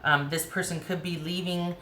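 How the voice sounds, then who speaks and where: Hoarse, low-voiced woman reading tarot. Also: fairly even intonation, moderate pace, pushed and bright, roomy sound, slight background noise. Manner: chatty, rambling, frequent restarts. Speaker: tarot reader.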